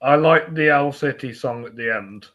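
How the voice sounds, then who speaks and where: A man's voice: medium pitch, normal speed, a bit bright. A young man co-hosting a film podcast in casual, conversational chat.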